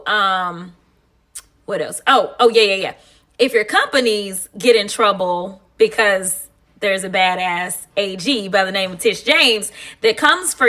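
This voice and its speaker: A woman's voice: medium pitch, fairly bright tone. A woman with a Texan accent talking casually and sassily to her followers in a direct-to-camera social video. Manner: sassy, conversational, addresses viewers as y'all.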